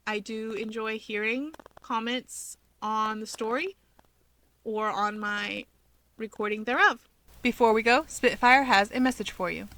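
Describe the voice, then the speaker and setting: A woman's voice: fairly high pitch, fairly even intonation, unhurried, bright, forceful tone, low-quality recording. A woman hosting a fan fiction reading channel, speaking informally as a narrator and commentator.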